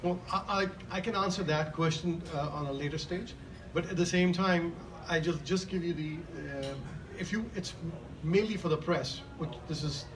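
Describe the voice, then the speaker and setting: Hesitant male moderator, higher voice. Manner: hesitant, halting, many restarts. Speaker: event host and moderator. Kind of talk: moderating a press Q&A. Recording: distant mic with background noise.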